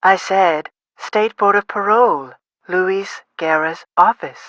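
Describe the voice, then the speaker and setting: A woman voicing a brisk, guarded parole office receptionist in a game, with a clipped, businesslike manner. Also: medium pitch, a bit bright, dry recording, dull audio. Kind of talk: scripted game phone dialogue.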